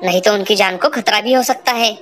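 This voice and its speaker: A woman's voice: mid-range voice, a little fast, natural voice. Woman as a grave cartoon doctor, male. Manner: matter-of-fact. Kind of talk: cartoon moral-story dialogue. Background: music.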